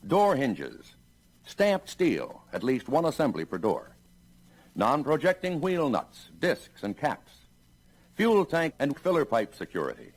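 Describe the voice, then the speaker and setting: A man with an American accent narrates like a 1960s industrial film announcer. His pitch moves in lively swings, and his delivery is measured and emphatic, as if presenting a product to salesmen.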